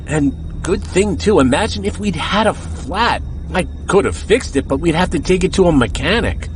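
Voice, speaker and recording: An actor playing an anxious, bickering young husband in an audio drama, earnest and stammering when rattled. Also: male voice, high voice, animated, normal speed, a little hiss or hum, low-quality recording. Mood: uneasy, puzzled. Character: anxious husband on a road trip, spooked and bickering. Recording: music behind the voice. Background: music.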